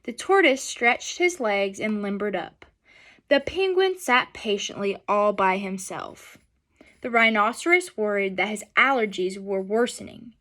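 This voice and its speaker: Bright-voiced young woman reading to children. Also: mid-range voice. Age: young adult. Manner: expressive, instructional, addressing young children. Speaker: student teacher reading aloud to children. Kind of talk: picture-book read-aloud with questions to children. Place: small furnished room at home, indoors.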